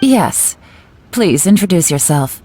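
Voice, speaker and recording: A woman's voice: on the low side, very animated, mellow, slight background noise. A woman playing a confident, businesslike and teasing female official in a video game. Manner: brisk, assured, teasing. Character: confident, sly, businesslike female official running candidate interviews.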